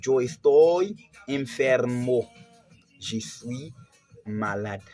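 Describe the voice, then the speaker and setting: A man from Haiti delivers a televised Spanish lesson at an ordinary pitch, going over each point again and again and putting weight on the key words. Faint music plays behind him. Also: expressive, extremely slow, darkish tone. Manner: repetitive, stresses key words. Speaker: Spanish teacher.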